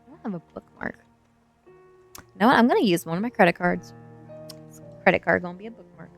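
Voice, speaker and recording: A woman's voice: fairly low pitch, animated, fairly quick, creaky, soft background music. A woman streamer who does ASMR book readings, speaking to her online audience. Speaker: streamer reading a novel aloud. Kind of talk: ASMR book reading, dramatic first-person narration; casual chat to stream viewers.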